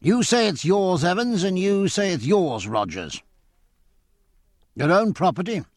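A man playing a calm naval captain in charge of a hearing, measured and commanding, with a lively rise and fall. The sound is close and dry. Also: high voice, no echo, pitched above the performer's own voice, full character voice. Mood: authoritative. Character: composed, authoritative male ship's captain.

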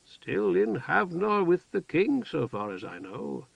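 A calm, kind older wizard with a soft, plain way of talking, as a male reader voices him. His tone carries a gravelly edge. The recording is dry and dull. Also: high voice, measured pace, no echo, pitched above the performer's own voice, heavily acted. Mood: gentle. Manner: soft-spoken, warm and plain.

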